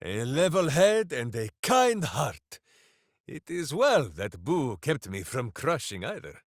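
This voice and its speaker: An actor playing a big-hearted, boisterous, simple-minded heroic ranger in a video game, declaiming theatrically. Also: male voice, medium pitch, big pitch swings, slow pace, dry recording, studio quality, exaggerated voice. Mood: earnest, pondering. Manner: theatrical, declaiming, speaks of himself in third person. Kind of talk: video game character voice lines.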